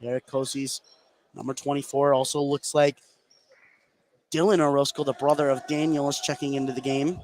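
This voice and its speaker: A man giving excitable live play-by-play radio commentary on a basketball game, his voice rising on big plays. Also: medium pitch, moderate pace. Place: live at a high school basketball gym. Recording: clear background noise, gym ambience.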